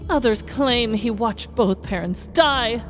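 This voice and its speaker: A woman's voice: a little high, slow, soft background music. A woman playing a nosy neighbor in a comedy audio sketch, theatrical and conspiratorial. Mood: dramatic, conspiratorial. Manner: theatrical, conspiratorial, hushed storytelling. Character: nosy dramatic middle-aged woman neighbor.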